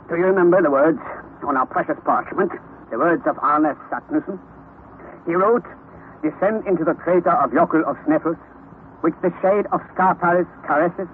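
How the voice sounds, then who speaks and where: An actor playing an excitable, obsessive older male explorer professor in an old radio drama, booming out exclamations. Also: lightly acted. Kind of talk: 1930s radio drama acting. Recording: tinny lo-fi old radio recording with faint hiss.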